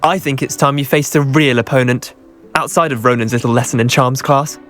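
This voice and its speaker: A man's voice: medium pitch, fairly even intonation, fairly quick, fairly bright tone, faint music behind, natural voice. A man playing a competitive, cocky teenage wizard in a video game, with a British accent and a declamatory manner. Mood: cocky, challenging. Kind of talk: video game cutscene acting.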